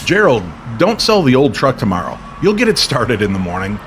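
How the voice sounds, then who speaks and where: A man voicing a mysterious, gentle male stranger in a radio-style story, knowing and direct in manner. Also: medium pitch, wildly swooping pitch, fairly quick, voice pushed up. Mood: firm advice.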